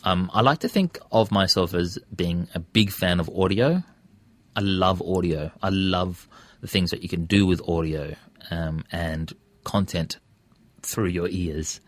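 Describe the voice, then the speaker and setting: A man with a low-pitched voice and an Australian accent speaks casually as a guest in an interview. He circles around his points, hesitating and rephrasing as he goes. The sound is close and dry. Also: lively intonation, measured pace, dry recording. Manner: hesitant, self-correcting, discursive. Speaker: interview guest, radio presenter and podcaster.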